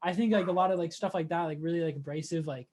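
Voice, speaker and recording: A man, interviewing casually in a loose, halting, slangy way. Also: fairly high pitch, fairly even intonation, darkish tone. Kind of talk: casual chat.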